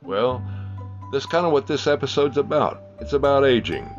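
A man's voice: medium pitch, lively intonation, normal speed. A man hosting a podcast who reads out figures and then jokes loosely. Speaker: podcast host. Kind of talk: podcast narration. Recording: dull sound with faint music behind.

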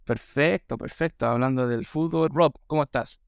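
A man born in Australia whose first language is English, hosting a podcast and telling stories in a lively way with many fillers. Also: medium pitch, moderate pace, creaky, clean tone, dry recording, studio quality. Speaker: podcast host. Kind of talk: casual chat.

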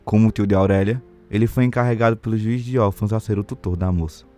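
A man's voice: lowish voice, fairly even intonation, fast, dark tone, soft background music. A man with a Brazilian accent narrating a period novel as scripted audio drama.

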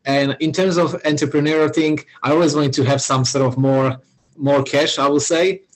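Slovak-accented man, slightly husky. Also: on the high side, fairly even intonation, poor audio. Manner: halting, with frequent "uh" and restarts. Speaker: podcast guest, founder. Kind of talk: casual interview answers.

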